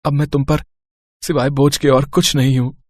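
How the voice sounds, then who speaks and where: A man's voice: mid-range voice, level tone, normal speed, a little mellow, no room sound, close to an everyday voice. A man voicing a devoted, tender young husband in a melodramatic cartoon moral story, with an earnest manner. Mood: despairing.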